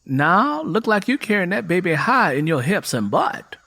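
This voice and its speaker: A man's voice: sits high, big pitch swings, moderate pace, sharp tone, no room sound, voice pushed up, heavily acted. A man voicing a gossipy, opinionated Southern country mother in folksy dialect for an audio drama. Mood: certain.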